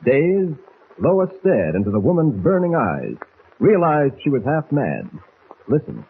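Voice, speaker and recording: A man narrates an old radio serial with theatrical suspense. His voice is fairly high and very animated, rough rather than clean, on a tinny, narrow-band vintage recording. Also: a little slow. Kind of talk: 1940s dramatic scripted narration.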